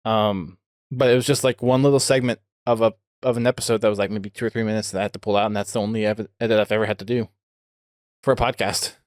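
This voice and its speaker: A man's voice: on the low side, fairly even intonation, fast talker, no echo. Casual male podcast host, dry close sound. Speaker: podcast host interviewing a guest.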